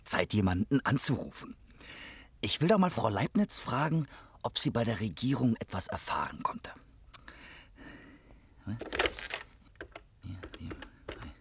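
Flustered, very animated Herr Baumann. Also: male voice, medium pitch, measured pace, long pauses, slight background noise. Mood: matter-of-fact. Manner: stammering, flustered. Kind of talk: German radio drama acting.

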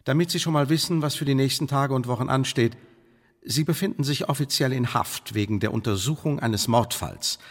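A man's voice: mid-range voice, fairly quick, lightly acted. An actor playing a stern, cautious British spymaster in a German radio play, speaking formally and measuredly as he warns and briefs. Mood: stern briefing. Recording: reverberant hall-like room.

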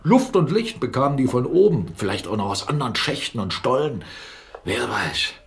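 An actor playing a forceful, excitable captain and mill owner in a radio play, mocking and animated, swinging between murmurs and exclamations. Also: male voice, mid-range voice, normal speed. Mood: musing, knowing. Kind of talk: German radio play dialogue.

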